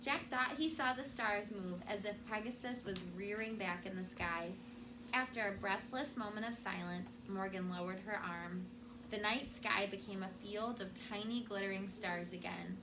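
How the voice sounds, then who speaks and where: A woman with a medium-pitched voice reads to children as their teacher. Her narration is expressive and story-like. The recording carries clear noise and room ambience. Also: moderate pace. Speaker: classroom teacher.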